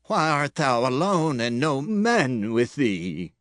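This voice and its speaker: A man playing a cautious, grave priest in a dramatized scripture reading, speaking deliberately in archaic English. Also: mid-range voice, sing-song, a bit bright, dry recording, studio quality. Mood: wary. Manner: deliberate, measured.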